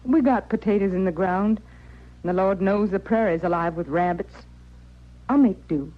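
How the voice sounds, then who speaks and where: A woman's voice: mid-range voice, normal speed, slight background noise, close to an everyday voice. Woman acting tired stubborn frontier widow, rustic american talk, old radio western, dull muffled sound. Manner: plain rustic speech. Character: weary, stubborn young prairie widow, worn by hardship. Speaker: actress in a radio drama.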